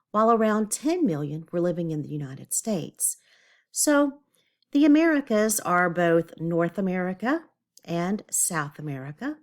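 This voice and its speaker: A woman teacher reading a lesson aloud to children, stopping to explain words simply in an instructional way. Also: on the low side. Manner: didactic, checks understanding, explains words simply.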